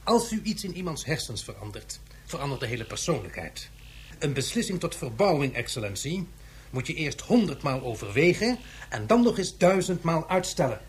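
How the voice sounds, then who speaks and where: A man playing a grave, principled scientist in a radio drama, formal, measured and emphatic. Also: fairly high pitch, big pitch swings, a little hiss or hum. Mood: firm, disapproving. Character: grave, principled male scientist warning his superior.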